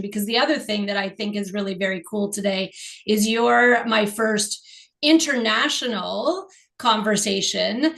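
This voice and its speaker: Enthusiastic Canadian woman host, gravelly edge, mid-range voice. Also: medium pitch, a bit bright. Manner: enthusiastic, curious. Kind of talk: casual interview chat.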